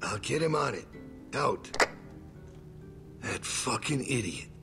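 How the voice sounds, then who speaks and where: An actor playing a menacing middle-aged leader of survivors in a dramatic video game, with a gravelly, measured delivery. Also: male voice, medium pitch, expressive, a bit bright, soft background music. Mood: irritated.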